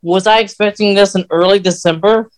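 A man's voice: sits high, steady tone, brisk, clean tone, poor audio. A man hosting a podcast, talking in a casual fan-chat style. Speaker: podcast host.

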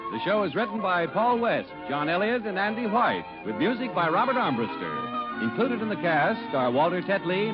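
An American man reads as a 1950s radio announcer, high-pitched and very animated. His delivery is polished and emphatic. Music plays behind him on a muffled old recording.

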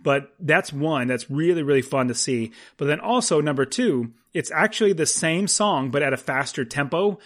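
A man's voice: on the high side, animated, normal speed, few pauses, a bit bright. A man co-hosting a casual movie podcast, speaking informally and repeating words for emphasis. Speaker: podcast co-host.

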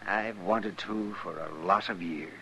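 An actor playing a vengeful, stubborn frontier doctor, grim and threatening, in a 1950s radio western. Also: male voice, low-pitched, unhurried, sharp tone, exaggerated voice. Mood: bitter, stubborn. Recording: noisy, distant-sounding old radio recording.

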